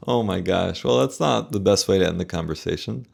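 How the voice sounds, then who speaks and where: Very gravelly, low-voiced man giving commentary. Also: expressive, normal speed, a little mellow, a little hiss or hum. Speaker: plastic surgeon reacting to a video. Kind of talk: casual spoken commentary.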